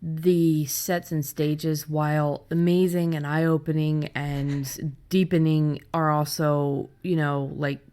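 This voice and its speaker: A woman's voice: low-pitched, little pitch movement, slow, hardly any pauses, mellow, clean tone. An American woman hosting a podcast in casual conversation, talking informally and feeling her way through her thoughts. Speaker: podcast host.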